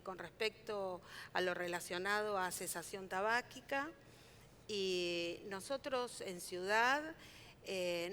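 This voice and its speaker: A woman speaks as a health official in a steady, measured, official way, pausing often. Her voice sits at a middle pitch, and a faint rumble runs underneath. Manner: measured, official, many "eh" pauses. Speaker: city health official, panelist. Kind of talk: panel talk at a forum.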